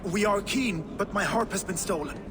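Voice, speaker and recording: A man's voice: sits high, moderate pace, far from the mic, background noise, lightly acted. A man playing an earnest, wronged Norse musician in a video game, off-mic with ambience behind. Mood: plaintive.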